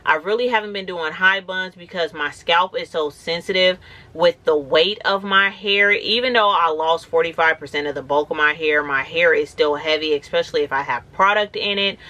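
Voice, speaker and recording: Thirties woman vlogger, slightly hoarse and raspy, bright tone, casual rambling chat. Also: on the low side, normal speed. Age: 30s. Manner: rambling, conversational, many "like"s. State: hoarse from a cold, with braces. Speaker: natural hair vlogger. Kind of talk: casual talking-to-camera vlog.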